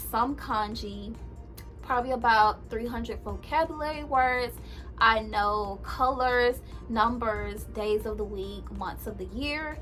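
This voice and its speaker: A woman's voice: fairly high pitch, fairly bright tone. A young woman vlogging casually about learning a language, chatty, with many fillers and emphatic repeats. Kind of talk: casual talk to camera.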